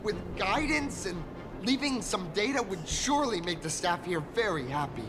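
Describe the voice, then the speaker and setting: A woman's voice: medium pitch, lively intonation, moderate pace, over music, lightly acted. An actress playing a courteous, persuasive staff woman in an anime game. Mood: courteous coaxing. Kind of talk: scripted anime game voice acting.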